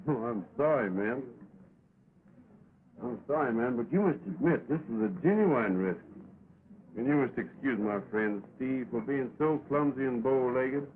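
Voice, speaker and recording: An actor playing a gentle, teasing young cowhand with a folksy American drawl in an early talkie film. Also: male voice, mid-range voice, normal speed, close to an everyday voice. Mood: courteous. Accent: American, cowboy drawl. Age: young adult. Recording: crackly, tinny 1920s film soundtrack.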